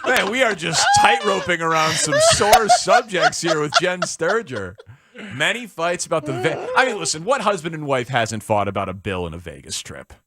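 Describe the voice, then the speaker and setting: A man's voice: fairly high pitch, huge pitch swings, moderate pace, background noise. A man hosting a sports radio show, joking and stumbling over his words. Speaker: radio host. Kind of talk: casual radio chat. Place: radio studio.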